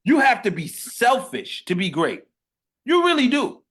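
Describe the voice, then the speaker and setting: A man in his late thirties hosting a casual interview, animated and preachy, dropping to a hush between loud bursts. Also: extremely high pitch, unhurried. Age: 30s. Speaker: podcast host.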